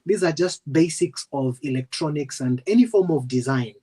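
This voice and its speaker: A man answering as an interview guest, polite and measured, hesitating between phrases. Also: fairly high pitch, lively intonation, warm tone, slightly rough. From Western Province, Kenya. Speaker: guest, engineer and ex-serviceman.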